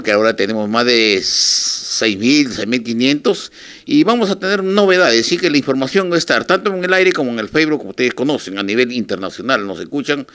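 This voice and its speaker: Older peruvian guy, medium pitch, kinda halting, repeats himself, talking to his listeners in an office interview. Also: fairly bright tone. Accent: Peruvian Spanish. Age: 60s or older. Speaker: radio station manager, interviewee. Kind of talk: informal televised statement to listeners. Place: small office, indoors. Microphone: handheld reporters' microphones held close.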